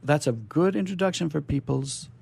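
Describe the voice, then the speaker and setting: A man's voice: on the high side, normal speed, gravelly, darkish tone, husky, dry recording. A man hosting a radio show, chatting casually and enthusiastically with his guests. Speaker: radio host. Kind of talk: casual on-air interview chat.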